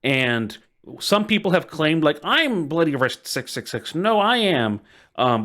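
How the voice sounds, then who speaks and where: A man's voice: mid-range voice, fairly bright tone. Amused man chatting on a podcast. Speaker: podcast co-host. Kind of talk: casual chat, recounting internet lore.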